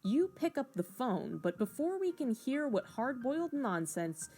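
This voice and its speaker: A woman's voice: high voice, big pitch swings, unhurried, mellow, slightly rough. A woman reading scripted second-person story narration in a mock hard-boiled style, with wry asides.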